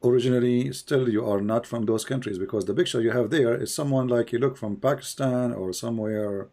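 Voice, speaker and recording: Guy hosting a call-in debate, keeps pushing the same question, average pitch. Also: brisk, mellow. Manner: pressing, repetitive questioning. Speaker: call-in show host.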